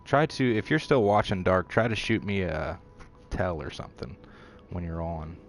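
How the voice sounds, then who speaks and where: A man's voice: low-pitched, expressive, normal speed. A man giving rambling, self-deprecating commentary while streaming a video game. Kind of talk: casual gameplay commentary.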